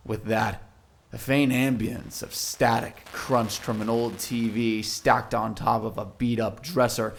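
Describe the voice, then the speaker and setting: A man narrating a scripted audio drama in a literary storytelling style. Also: lowish voice.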